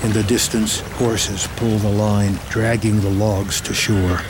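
A man's voice: lowish voice, level tone, unhurried, background noise. Male narrator of a dramatic screenplay. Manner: terse, present-tense storytelling.

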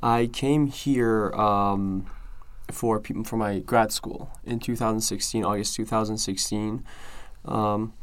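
A young man from Iran answering interview questions, halting, with many fillers and restarts. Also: fairly low pitch, smooth. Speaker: organizer.

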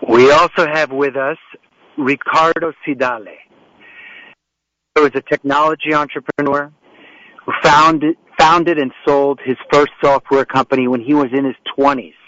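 A man's voice: on the high side, level tone, moderate pace, pushed and bright, dry recording, highs cut off. A man hosting an interview show over a remote setup, rambling a little with frequent restarts and fillers as he introduces guests and asks questions. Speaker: talk show host. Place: home setup in New York. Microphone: remote call setup.

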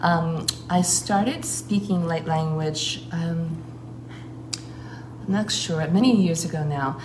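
Low-voiced woman in a roomy recording. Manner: earnest, flowing, with frequent filler pauses. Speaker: shamanic priestess and guest speaker. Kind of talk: casual spoken account. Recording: roomy recording with clear background noise.

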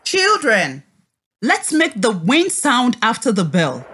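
A woman teaching a read-aloud lesson to children in an expressive, encouraging, deliberate way. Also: a little high, a little hiss or hum.

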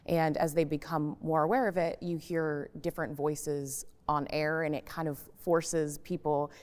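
Female radio journalist on a panel, notably deep register, conversational, heavy hall reverb around the voice. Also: a little mellow.

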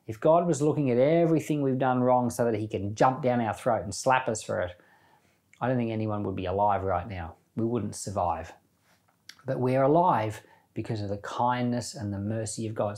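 A man's voice: medium pitch, a little mellow. A man speaking as a Bible teacher, giving informal commentary and prayer in an earnest, conversational way with emphatic repetition.

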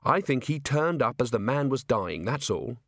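A man's voice: mid-range voice, expressive, normal speed, fairly bright tone, no echo, clean studio sound. A man voicing an earnest, polite young watchman in an audiobook, explaining things patiently and precisely. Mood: earnest, reasoning. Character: earnest, polite, literal-minded young male city watchman. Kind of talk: audiobook character voice.

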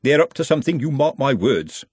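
A man's voice: medium pitch, big pitch swings, a little fast, a little mellow, breathy edge, dry recording, voice pushed up. A man voicing a nosy, sly middle-aged watchman who gossips conspiratorially.

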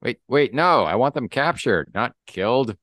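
A man plays an anxious, obsequious official with a Russian accent. The voice is pushed up high, halting and pleading, in a dry recording. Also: very animated, darkish tone, no echo. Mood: alarmed.

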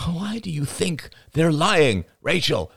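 An angry young man , acted by a male narrator in an audiobook confrontation. He is sarcastic and accusatory, with a creaky edge and big swings in pitch, speaking in deliberate, biting phrases. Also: lively intonation, dry recording, studio quality, full character voice. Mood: alarmed, exasperated.

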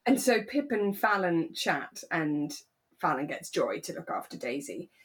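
A woman co-hosting a podcast, chatting casually and telling stories with animated asides. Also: on the low side, measured pace. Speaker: podcast co-host. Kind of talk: relaxed casual chat recapping a radio drama.

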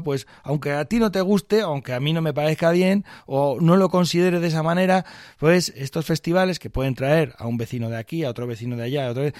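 A man co-hosting a podcast, reasoning aloud in a casual, animated discussion. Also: a little high, no room sound, clean studio sound. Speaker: podcast co-host.

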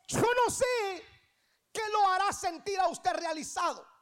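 A woman preacher in her thirties with a Guatemalan accent and an extremely high pitch. She delivers a sermon with emphasis, repeating her key phrases and posing rhetorical questions to the congregation. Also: lively intonation, measured pace. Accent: Guatemalan Spanish. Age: 30s. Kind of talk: church sermon.

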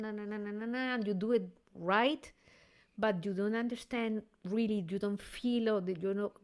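A woman who is a classical singer, talking in a relaxed conversation and often restarting as she searches for words. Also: medium pitch. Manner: warm, effusive, searching for words. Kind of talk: casual conversation.

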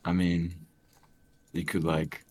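Very deep soft-spoken young man. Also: completely flat delivery, takes it slowly. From Australia. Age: young adult. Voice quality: soft soothing voice. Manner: hesitant, many fillers. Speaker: streamer guest. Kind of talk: casual podcast chat.

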